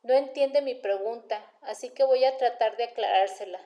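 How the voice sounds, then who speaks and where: A woman's voice: on the high side, normal speed, mellow, highs cut off, natural voice. A woman voicing a stern, patient male police detective in an audiobook, questioning formally with cool sarcasm. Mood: patient, icily sarcastic. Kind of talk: audiobook character dialogue.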